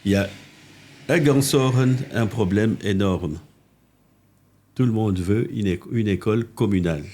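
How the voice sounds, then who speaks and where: An older man from Congo, a mayor telling animated anecdotes in a casual interview, with restarts and asides, recorded on a living-room podcast set. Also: fairly low pitch, unhurried, slight background noise. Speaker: mayor, political guest.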